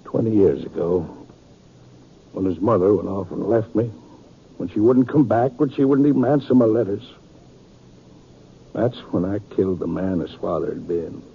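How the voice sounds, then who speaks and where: A low, creaky-voiced outlaw father, bitter and full of remorse. He speaks haltingly and heavily, in the style of a 1950s radio western, on a noisy old narrow-band recording. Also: lively intonation, heavily acted. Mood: bitter confession. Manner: halting, heavy-hearted.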